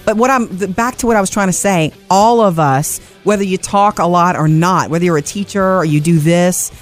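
A woman's voice: on the low side, soft background music. A woman co-hosting a show, chatting casually and teasing the host. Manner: teasing, quick to finish others' sentences. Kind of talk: casual chat.

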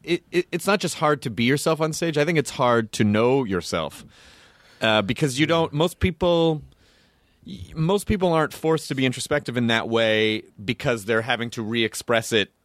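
A man's voice: mid-range voice, moderate pace, a bit bright, no echo, studio quality. A man hosting a casual interview, thinking aloud as he circles toward his questions. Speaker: podcast host, interviewer.

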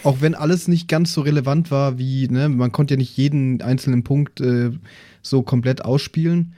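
A man's voice: medium pitch, fairly even intonation, normal speed, mellow. A man co-hosting a podcast in casual chat, modest and a little tentative. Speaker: podcast co-host and role-player.

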